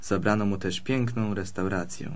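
A man's voice: lowish voice, steady tone, normal speed, lightly acted. A man playing a friendly, peaceable fox who tells stories politely and evenly in a children's audio play. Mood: storytelling. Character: friendly, peaceable male fox recounting local history.

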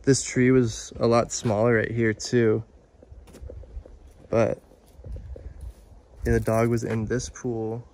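A young man in his twenties vlogging by phone at arm's length outdoors, talking in an excited, chatty way full of "dude" and "like". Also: medium pitch, takes it slowly, pauses often, a little hiss or hum. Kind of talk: casual vlog narration. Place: outdoors at an abandoned waterpark. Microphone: phone held at arm's length.

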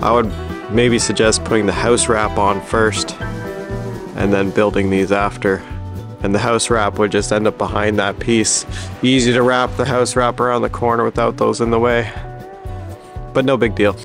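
Even-toned man casually explaining a build, music behind. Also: lowish voice, moderate pace. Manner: plain-spoken, practical, informal. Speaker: host of a DIY building update vlog. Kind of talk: casual explanatory walkthrough of construction work.

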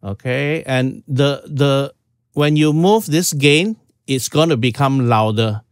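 A man's voice: mid-range voice, takes it slowly, clear, resonant tone, no echo, clean studio sound. Man explaining audio settings step by step. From Singapore. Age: 50s. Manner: informal, step-by-step, frequent "okay"s. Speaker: audio tutorial host. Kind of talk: casual screen-share tutorial. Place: small room at home.

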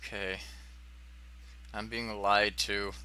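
A man's voice: fairly low pitch, flat delivery, slow, sharp tone, slight background noise. A man giving casual, sweary and self-deprecating commentary as he plays a video game. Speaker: let's player.

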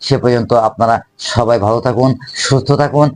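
Male commentary host, Bangladeshi accent, average pitch, opinionated delivery. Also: steady tone, fast. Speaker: video host.

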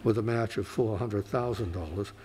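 A man with a lowish voice reads council agenda items aloud in a steady, formal cadence. He is in a council chamber, with a faint hum of noise behind him. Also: normal speed. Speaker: city clerk.

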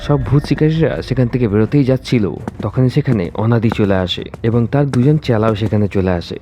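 A man's voice: medium pitch, fairly quick, dark tone, muffled recording. Man narrating a horror cartoon over music. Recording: music behind the voice.

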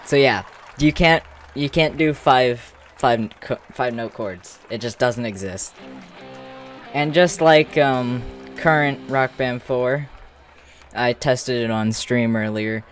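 A person giving casual gameplay commentary in a halting way with false starts, in a room at home. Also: mid-range voice, faint music behind. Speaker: streamer demonstrating a game.

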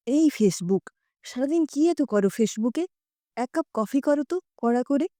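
A woman acts out a demanding husband in an audio story. The voice is high and swings widely as he complains and scolds, with a dry, close sound. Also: expressive, unhurried, warm tone, no echo. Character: irritable, demanding husband, an office executive.

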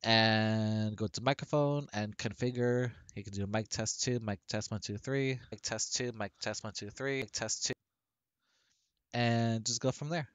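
A man with a deep voice, talking through a casual software tutorial on a headset microphone and often restarting his sentences. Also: unhurried, smooth, no echo. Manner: rambling with frequent restarts and fillers. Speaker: tech tutorial creator.

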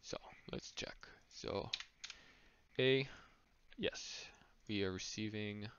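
Slow low-voiced man coding aloud. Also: fairly bright tone, clear, resonant tone. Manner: thinks aloud while typing, with small slips. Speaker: coding tutor. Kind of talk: screen-recorded coding walkthrough. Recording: dull lo-fi mic with noise. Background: keyboard typing and clicks.

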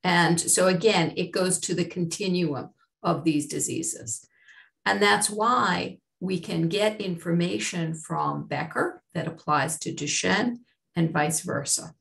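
Slow, gravelly woman with a Boston accent. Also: fairly low pitch, fairly bright tone. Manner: measured, explanatory, slow and deliberate. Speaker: chief medical officer. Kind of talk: webinar presentation. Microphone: webcam on a video call.

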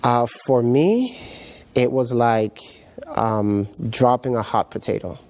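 Mellow, halting middle-aged man. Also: medium pitch. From Jamaica, raised in New York. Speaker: guest pastor. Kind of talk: personal testimony in a TV interview. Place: indoor TV studio set, seated.

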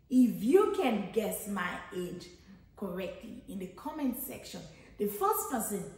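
A woman's voice: mid-range voice, darkish tone. A woman in her thirties from Nigeria with a Nigerian accent, chatting casually as a vlogger and thinking aloud with frequent restarts. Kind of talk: casual get-to-know-me chat. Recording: very echoey room.

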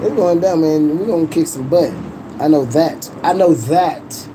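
A man's voice: on the high side, a little slow. A man hosting a casual sports livestream, talking in profane slang and repeating his phrases. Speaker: livestream host. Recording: noisy live stream with vehicle-like ambience.

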